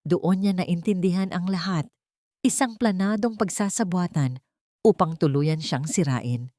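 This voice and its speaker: A woman's voice: fairly low pitch, unhurried, warm tone, dry recording, studio quality. A woman reading a scripted dramatic love story as an audiobook narrator.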